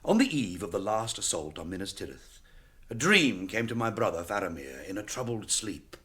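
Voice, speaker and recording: A man's voice: lowish voice, big pitch swings, normal speed, pushed and bright. An actor playing a proud, embattled warrior nobleman, declaiming emphatically in a radio drama. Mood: proud, urgent.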